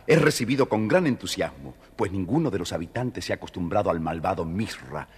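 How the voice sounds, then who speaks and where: A man narrating a scripted children's story in a measured, expressive way. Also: sits low, breathy edge. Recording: reverberant studio story recording. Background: music.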